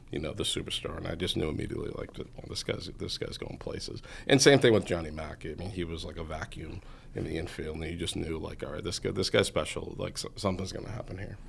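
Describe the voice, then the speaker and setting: A man in his forties with a very low voice, roughened by a gravelly fry. He talks loosely and keeps circling back with "you know" as he recalls the past. The tone is relaxed and conversational, a guest talking at ease. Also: a little hiss or hum. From Massachusetts. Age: 40s. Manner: rambling, heavy on "you know" fillers. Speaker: guest, entrepreneur. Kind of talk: casual interview storytelling.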